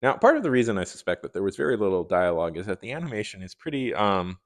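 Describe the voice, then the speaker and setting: A man giving a conversational, opinionated review in a wry, animated manner. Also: fairly low pitch, quick pace. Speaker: video reviewer. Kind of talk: conversational review commentary to viewers.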